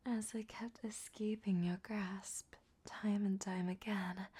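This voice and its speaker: A woman playing a flirtatious, cunning ancient vampire in a roleplay audio drama, teasing and sardonic in a soft, breathy half-whisper. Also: medium pitch. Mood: musing.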